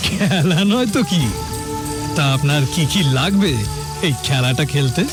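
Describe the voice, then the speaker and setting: An actor playing a haunted, obsessive well-off man in a Bengali audio drama, halting and repeating his words dramatically. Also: on the high side, animated, over music. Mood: mocking, imperious.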